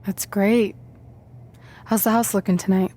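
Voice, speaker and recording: A woman's voice: mid-range voice, steady tone, a little slow, dark tone, natural voice. An actress playing a frank, practical young female wrestler in a video game, speaking in a blunt conversational way. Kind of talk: video game cutscene acting.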